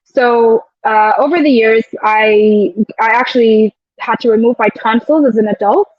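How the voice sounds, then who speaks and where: Woman guest, high voice, dull dry sound. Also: moderate pace, no room sound. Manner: reflective, storytelling with quoted asides. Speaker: guest, air traffic control officer. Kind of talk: casual interview chat.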